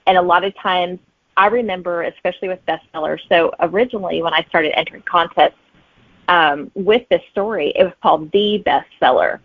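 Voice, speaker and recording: A woman's voice: on the low side, a little fast, breathy edge, dry recording. Chatty woman on a narrow phone-like line. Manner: chatty, acts out remembered remarks and thoughts. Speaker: guest author. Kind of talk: casual interview chat, personal anecdotes. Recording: narrow, phone-like dry audio.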